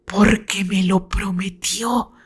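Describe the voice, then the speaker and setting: A woman voicing a fragile, tormented, tearful woman, bitter and resigned, with halting, breathy theatrical delivery in an audio novel. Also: medium pitch, fairly even intonation. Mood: anguished, bitter. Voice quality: thin, whispery voice at moments.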